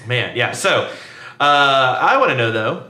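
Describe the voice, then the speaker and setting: A man's voice: mid-range voice, lively intonation, measured pace, sharp tone, reverberant. A man co-hosting a horror podcast, chatting casually with lots of fillers and restarts. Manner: rambling, restarts, frequent fillers. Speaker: podcast co-host. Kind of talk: casual chat.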